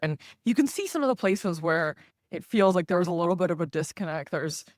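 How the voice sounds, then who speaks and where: A podcast host whose first language is Russian, explaining in a measured, chatty way during a casual interview. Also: medium pitch, expressive, no room sound, studio quality.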